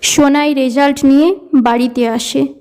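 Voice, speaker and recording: A woman's voice: high-pitched, steady tone, moderate pace, warm tone, a little hiss or hum. A woman narrating a scripted cartoon story in a storybook style.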